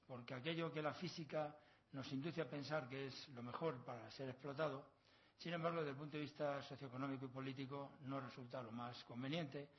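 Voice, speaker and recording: A middle-aged man from La Mancha, Spain, with a Castilian accent, giving an academic conference lecture as a professor in an erudite, discursive manner. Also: medium pitch. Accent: Castilian Spanish. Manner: erudite, discursive, with proverbs and learned asides. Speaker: university professor, conference speaker. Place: long conference hall. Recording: slightly dull, lo-fi sound.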